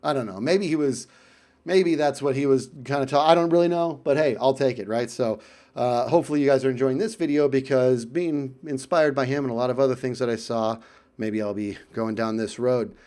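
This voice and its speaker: An American man with a medium-pitched voice shares personal stories in a loose, casual style. He is animated and conversational, often slipping into little acted-out exchanges with a chuckle. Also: fairly quick. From United States. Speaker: video creator telling stories about friends. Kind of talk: casual anecdotal storytelling.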